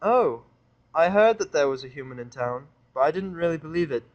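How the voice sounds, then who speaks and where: A grown mare schoolteacher from a pony story, acted by a man. She speaks in a lifted pitch. Her manner is polite and gentle. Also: expressive, a little fast, dull audio, lightly acted. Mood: awed. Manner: courteous, gentle.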